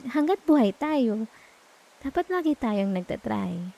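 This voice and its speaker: A woman with a Filipino accent playing a gentle, reassuring girlfriend in an intimate ASMR roleplay, speaking softly and tenderly close to the microphone. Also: fairly high pitch, very animated, measured pace, gravelly, heavily acted. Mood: gentle reassurance. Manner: soft, tender, coaxing, with murmured hums. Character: gentle, reassuring young girlfriend comforting her partner at night. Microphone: close microphone, dry.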